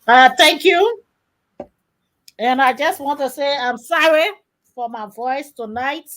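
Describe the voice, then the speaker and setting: High-voiced woman host with a cold. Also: a bit bright. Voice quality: rough, strained voice. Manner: halting, with many restarts and fillers. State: has a cold. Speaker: radio host. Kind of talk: live radio talk show. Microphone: studio mic.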